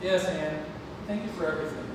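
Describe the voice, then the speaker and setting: High-voiced, echoey actor as a harried father. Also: natural voice. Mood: awkward. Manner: hesitant, halting. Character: harried, awkward, apologetic father. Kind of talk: play table read. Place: large indoor theatre room, far microphone. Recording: distant, echoey hall, noisy room recording.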